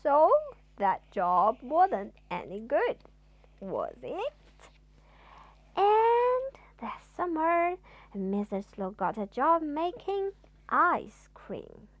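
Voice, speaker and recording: A woman's voice: high voice, wildly swooping pitch, drawn-out words, no room sound, highs cut off. A woman reads a children's storybook expressively, like a mother at bedtime, drawing out words for theatrical emphasis. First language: Chinese.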